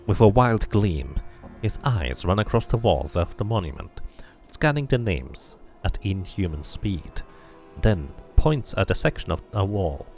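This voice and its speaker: Male game streamer, deep creaky voice, casual commentary, expressive story reading, faint music. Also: a little slow. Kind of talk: casual playthrough commentary and expressive read-aloud narration.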